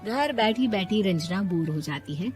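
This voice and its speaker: Woman narrating a moral story, mid-pitched. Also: lively intonation, moderate pace, a little mellow, soft background music.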